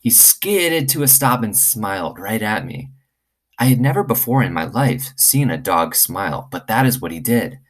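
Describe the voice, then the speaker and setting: A man reading aloud to children in an expressive storytelling style. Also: fairly low pitch, normal speed. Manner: expressive, acts out dialogue. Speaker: teacher reading aloud to students.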